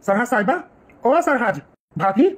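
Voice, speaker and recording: A man's voice: extremely high pitch, animated, measured pace, husky, full character voice. A man voicing a flirty, scheming brother-in-law in a village comedy cartoon, sly and wheedling, with a Bhojpuri accent. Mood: flirtatious.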